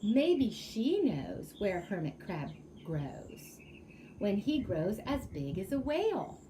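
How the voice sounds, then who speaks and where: A woman's voice: mid-range voice, very animated, slow pace, dark tone, slight background noise. A woman storytime reader reading aloud expressively to children, with chatty asides and questions to her listeners.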